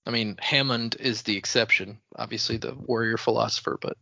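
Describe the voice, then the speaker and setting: A man's voice: mid-range voice, steady tone, a little fast, creaky, pushed and bright, no echo, highs cut off. A man co-hosting a podcast with casual banter, opinionated and wry, often teasing. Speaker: podcast co-host.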